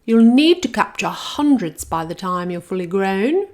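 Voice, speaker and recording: Woman as bossy dragon teacher, loud, high, commanding, slow. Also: sing-song. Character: bossy female dragon schoolteacher.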